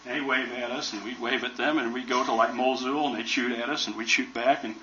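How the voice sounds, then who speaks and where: A man's voice: mid-range voice, steady tone, brisk, bright, forceful tone, a little hiss or hum. An older man in his seventies with an American accent, a retired helicopter pilot giving a casual, joking talk to a museum audience. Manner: folksy, joking storyteller. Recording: roomy, distant, dull recording.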